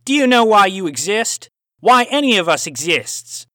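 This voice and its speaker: A theatrical phantom cowboy villain from an audiobook, Australian with a hint of twang. The voice is raised, bright and forceful, and very animated. He puts formal, taunting questions with deliberate menace. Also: high-pitched, dry recording, studio quality, voice pushed up, full character voice. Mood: sinister musing. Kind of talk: audiobook character voice.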